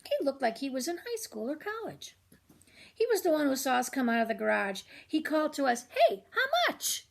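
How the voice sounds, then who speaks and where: A woman's voice: sits high, expressive, fairly quick, vocal fry. An older woman reading a children's chapter book aloud as a storyteller, expressively acting out the dialogue.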